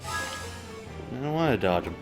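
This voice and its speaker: A man's voice: medium pitch, very animated, slow pace. A man hosting a game stream with casual, sweary, joking commentary, game music playing behind him. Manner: sweary, mock-quoting. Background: video game music.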